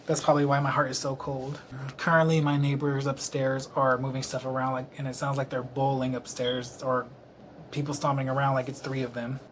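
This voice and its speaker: A man's voice: medium pitch, level tone, fairly quick, slight background noise. A man in his late twenties from Texas, rambling to camera in his apartment, self-deprecating and a little tipsy, with lots of fillers. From Dallas, Texas. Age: late 20s. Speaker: vlogger. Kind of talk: rambling casual monologue to camera. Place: his own apartment, indoors. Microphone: camera close-up.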